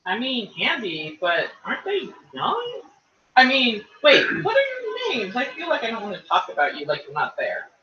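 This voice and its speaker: Woman tabletop player, high and animated, echoey room with a distant lo-fi mic. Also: normal speed.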